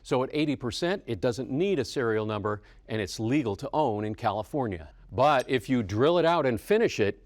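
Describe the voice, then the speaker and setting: An American man narrates a television news report. His voice sits at a middle pitch, and he delivers scripted copy as a reporter. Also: lively intonation, moderate pace, hardly any pauses, dry recording.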